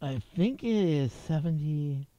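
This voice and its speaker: Man from Canada with a smooth, mellow voice. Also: on the high side, expressive, measured pace, muffled recording. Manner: rambling, with restarts and chuckles. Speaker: live stream host. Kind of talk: casual rambling stream chat. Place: home desk crowded with gear. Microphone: desk mic with a mixer.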